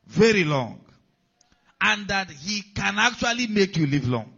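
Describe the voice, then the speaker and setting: A man's voice: sits high, sing-song, unhurried, creaky, pushed and bright, slightly rough. A man preaching a sermon, repeating key lines and checking in with the congregation. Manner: preaching with repetition and call-outs to the congregation. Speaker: pastor. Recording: dull lo-fi sound.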